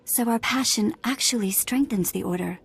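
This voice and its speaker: A woman's medium-pitched voice as a young Jedi student in a video game. She is proud, intense and guarded, with formal wording. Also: normal speed, vocal fry, slightly rough. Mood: adoring. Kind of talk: scripted video game acting.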